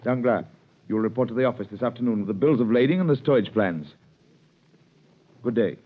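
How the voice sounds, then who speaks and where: An actor playing a businesslike middle-aged shipowner in a 1930s radio drama, measured in manner, on a tinny, hissy old recording. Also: male voice, medium pitch, natural voice.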